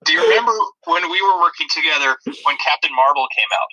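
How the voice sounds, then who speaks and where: Guy podcast co-host, really sharp bright voice, kinda high, rambly casual chat, dry humor, thin radio-ish sound. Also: fast talker, rough recording. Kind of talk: casual chat about video-game movies.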